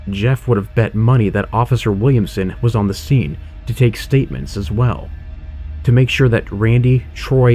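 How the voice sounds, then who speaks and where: A man's voice: mid-range voice, dark tone. A man narrating a scripted horror audio drama in a dramatic storytelling style.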